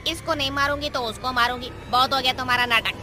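A woman's voice: sits high, fairly even intonation, fast talker, lightly acted. A woman voicing a cruel, cackling female witch in a cartoon horror story. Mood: mocking. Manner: taunting, cackling. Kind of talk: Hindi cartoon voice acting.

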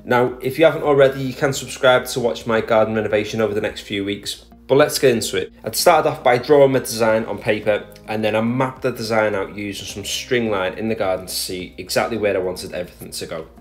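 A man's voice: on the low side, brisk, few pauses, soft background music. A man narrating a voice-over about his garden project, explaining his steps in a plain, methodical way with speech and small restarts. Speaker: garden DIY vlogger.

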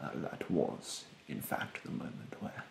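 A young man doing a soft-spoken ASMR roleplay in a breathy, hushed voice, politely snide and condescending with drawn-out, hesitant phrasing. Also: reverberant, noisy background. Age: young adult. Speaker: ASMR performer playing a rude facial therapist. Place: bedroom, indoors.

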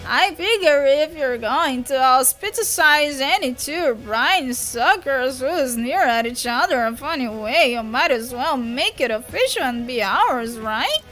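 A belligerent young male troll who sneers and swears his way through every demand, put on by a woman reader. The voice sits high and moves slowly, with faint music underneath. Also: expressive, fairly bright tone, poor audio. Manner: profane, pushy, sneering. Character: brash, hostile young male troll schemer.

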